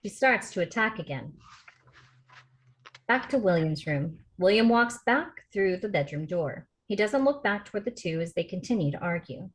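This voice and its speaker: A woman's voice: medium pitch, expressive, moderate pace, poor audio. A woman reading stage directions as the narrator at a film script table read. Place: remote video call rehearsal.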